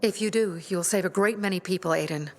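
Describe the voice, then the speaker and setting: A woman's voice: on the low side, fast, a little hiss or hum, lightly acted. An actress playing a stern, embittered young female rebel leader in a video game, firm and earnest. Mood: earnest, persuading. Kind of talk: video game dialogue acting.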